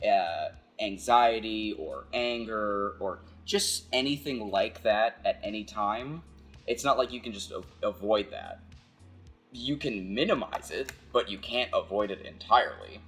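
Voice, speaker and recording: Low-voiced man musing over music. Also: fairly bright tone. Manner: halting, conversational, thinking aloud. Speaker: video essayist. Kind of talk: casual philosophical monologue.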